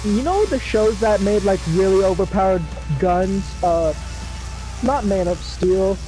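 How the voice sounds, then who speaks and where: A man chatting casually during a game livestream, rambling with fillers and swearing, his voice going hoarse. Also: high voice, measured pace, warm tone, faint music behind, poor audio. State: losing his voice. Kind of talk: casual chat.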